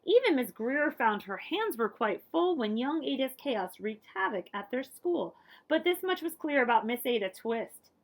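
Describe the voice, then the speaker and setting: Female children's librarian for picture-book reading: lively, swooping delivery, creaky edges, theatrical emphasis. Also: sits high, normal speed. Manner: expressive, dramatic emphasis, drops to whispers. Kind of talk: animated storybook read-aloud.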